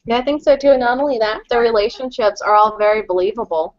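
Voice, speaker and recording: A woman's voice: on the high side, fast. A woman co-hosting a casual gaming podcast, rambling and self-deprecating. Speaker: podcast co-host. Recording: slightly muffled home recording.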